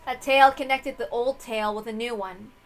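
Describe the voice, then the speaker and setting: A woman's voice: a little high, brisk, rough recording. A woman reading a visual novel aloud as dramatic scripted narration.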